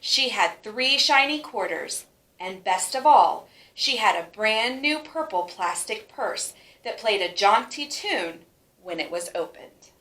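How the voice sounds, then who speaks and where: A woman reading a children's storybook aloud with expressive, emphatic phrasing for young listeners. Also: mid-range voice, slow, reverberant. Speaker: teacher reading a picture book aloud.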